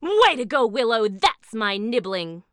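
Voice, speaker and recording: An actress playing a competitive, breezy and pushy aunt, a former game show star, in an audio drama. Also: female voice, a little high, big pitch swings, measured pace, fairly bright tone, no echo, clean studio sound. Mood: proud.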